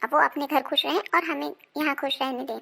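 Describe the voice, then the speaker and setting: A woman playing an emphatic, melodramatic married daughter-in-law in an animated family moral drama. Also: sits high, brisk, gravelly, heavily acted. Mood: indignant, defiant. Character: indignant married woman, sharp-tongued wife and daughter-in-law.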